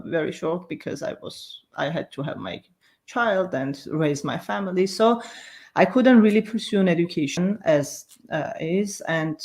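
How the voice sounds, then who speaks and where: A middle-aged woman from Armenia with an Armenian accent, speaking reflectively in an interview and often searching for words. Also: low voice.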